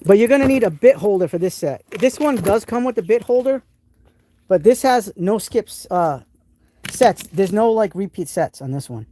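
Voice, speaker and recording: Mellow-voiced New England man, enthusiastic. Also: high voice, expressive, moderate pace, a little hiss or hum. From New England, United States. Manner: enthusiastic, rambling with frequent restarts. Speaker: host of a live tool stream. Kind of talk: casual live stream, showing tools and answering chat.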